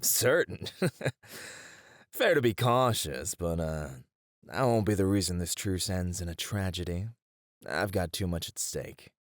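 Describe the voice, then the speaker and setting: A man voicing a confident, flirtatious mob leader with a lowish voice that swings expressively. He is shrewd and playfully theatrical, teasing his listener in an intimate, dry, close-sounding audio roleplay. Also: expressive, measured pace, dry recording. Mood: guarded, diplomatic. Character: cocky, flirtatious, shrewd male gang leader. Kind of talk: flirty ASMR roleplay acting.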